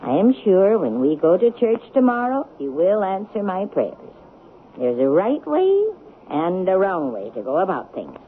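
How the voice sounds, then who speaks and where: An actress playing a prim, pious elderly cousin in a 1970s radio drama, gentle but unbending and properly spoken. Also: female voice, medium pitch, big pitch swings. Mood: firm, righteous. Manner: proper, moralizing, deliberate. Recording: tinny, noisy old radio broadcast.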